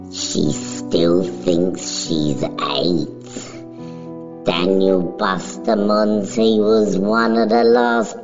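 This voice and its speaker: A woman giving a solemn, measured, scripted dramatic narration of a story. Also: a bass voice. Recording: dull recording, music behind.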